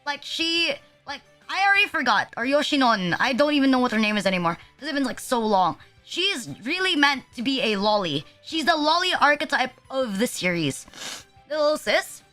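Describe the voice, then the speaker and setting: Bright, high-voiced young woman streamer. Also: very animated, moderate pace, soft background music. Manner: rambling, casually profane, self-deprecating. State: sniffly, stuffed nose. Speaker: VTuber streamer. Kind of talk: casual livestream chat.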